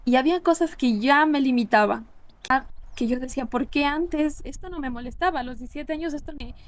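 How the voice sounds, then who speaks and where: A young woman from Ecuador talks as an interview guest, thoughtful and earnest, often pausing to restart a phrase. Her voice sits somewhat high. She sounds like a linguist sharing her own experience. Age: 20s. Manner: reflective, earnest, many restarts and fillers. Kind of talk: casual interview conversation.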